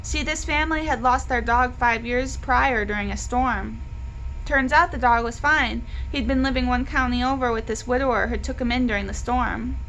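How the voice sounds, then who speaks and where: A woman voicing an earnest, weary, principled journalist father in a read-aloud children's novel, explaining things in a measured way. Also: a little high, fairly bright tone, highs cut off, slight background noise. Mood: reminiscing.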